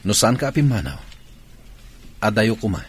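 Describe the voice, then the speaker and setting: An actor playing a married soldier in an Ilocano radio drama, recorded over faint ambient noise. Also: male voice, lowish voice, sing-song, normal speed. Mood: guarded, uneasy. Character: male soldier, married, talking with comrades.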